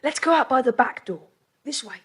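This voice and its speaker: A woman's voice: high-pitched, animated, brisk, breathy edge, full character voice. A woman playing a sensible, decisive older schoolboy who leads his group in a children's audio drama. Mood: decisive, brisk. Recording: slightly noisy tape transfer.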